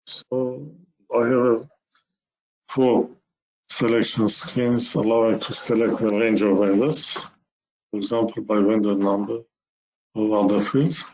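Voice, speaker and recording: Male webinar presenter, very unhurried and halting, medium pitch, walking through software steps. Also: rough recording. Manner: halting, step-by-step explaining in short phrases.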